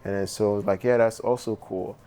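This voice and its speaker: A man's voice: on the low side, fairly even intonation, a little fast, mellow. A young man from Haiti with a Haitian accent, a painter in a documentary interview, speaking reflectively with loose phrasing and many fillers. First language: Haitian Creole.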